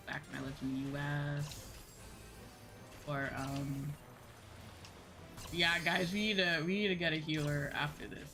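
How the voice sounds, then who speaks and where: Low-pitched woman chatting on a livestream. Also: gravelly. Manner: rambling, informal, thinks aloud. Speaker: livestreamer playing an online game. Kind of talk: casual livestream chat with game commentary. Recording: music behind the voice. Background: game music.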